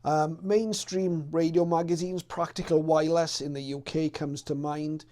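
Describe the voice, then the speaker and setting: A middle-aged man with a voice a little higher than most. He talks casually to his viewers as a hobbyist looking back, pausing and feeling his way through in a thoughtful manner. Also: steady tone, dark tone. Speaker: radio hobbyist presenter. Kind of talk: casual reminiscing explainer to viewers.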